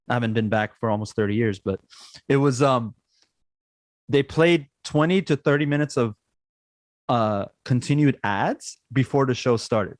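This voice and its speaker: A man's voice: medium pitch, no echo. A man in his forties with a Persian accent, who grew up in Iran, hosting a casual podcast chat full of fillers and repeated yeahs. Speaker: podcast host.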